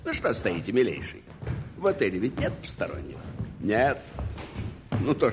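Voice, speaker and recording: An actor playing an imperious, irascible English duke in a radio play, haughty and exclamatory. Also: male voice, mid-range voice. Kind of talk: 1980s Soviet radio play acting. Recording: noisy old radio recording.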